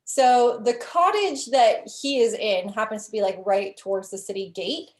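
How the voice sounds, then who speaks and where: A woman's voice: fairly high pitch, normal speed. Young woman running a tabletop game. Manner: casual, lots of "like" and "um" fillers. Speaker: game master.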